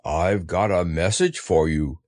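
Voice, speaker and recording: A man voicing a friendly, slow-witted toy bear in a hesitant, good-natured storybook manner. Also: sits low, a little mellow, dry recording, studio quality, pitched below the performer's own voice. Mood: happy, friendly.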